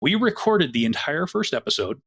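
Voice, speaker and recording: A man producing an audio drama, talking about it in an earnest, drawn-out way in a casual podcast chat. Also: a little high, very animated, fairly bright tone, slightly rough.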